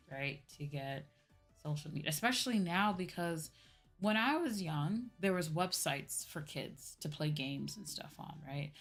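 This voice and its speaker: Young woman vtuber just chatting on stream, voice a little on the low side, conversational, music playing behind her. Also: a bit bright. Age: young adult. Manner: conversational, often checks with "right?" and "you know". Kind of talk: casual livestream chat. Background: background music.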